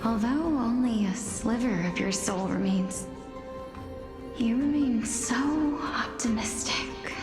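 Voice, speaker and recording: An actress playing a gentle, sorrowful young woman in a fantasy game, speaking softly and solemnly. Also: fairly high pitch, slow pace. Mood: melancholy, questioning. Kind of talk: dramatic fantasy game voice acting. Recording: very echoey, reverberant, prominent music behind.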